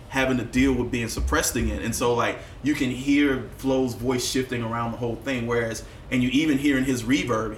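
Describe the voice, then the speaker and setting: Man, musician guest, candid storytelling, reflective, expressive, casual swearing, roomy echo, faint background noise. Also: mid-range voice. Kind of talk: candid podcast conversation.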